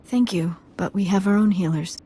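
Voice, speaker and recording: An actress playing an earnest, resolute young village daughter in a video game, speaking politely. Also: female voice, medium pitch, normal speed, mellow, husky, lightly acted. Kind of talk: video game dialogue acting.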